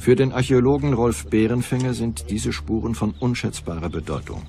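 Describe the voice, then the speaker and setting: A man narrating a scripted historical documentary in a measured, serious and dramatic manner. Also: mid-range voice, vocal fry, mellow. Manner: measured, serious, dramatic present-tense telling. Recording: music behind the voice. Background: music bed.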